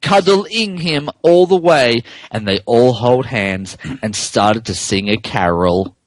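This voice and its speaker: A man's voice: medium pitch, lively intonation, slow, no room sound. A man hosting a podcast, giving a playful, mock-dramatic comic reading of a story aloud. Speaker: podcast host reading fan fiction aloud.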